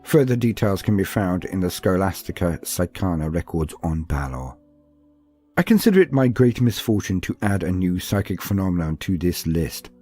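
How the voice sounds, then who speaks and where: A low-pitched, husky man narrates a scripted tale in a theatrical way. His delivery swings widely in pitch and leans on dramatic pauses and asides, with music playing underneath. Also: very animated, dark tone. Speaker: narrator of a lore guide.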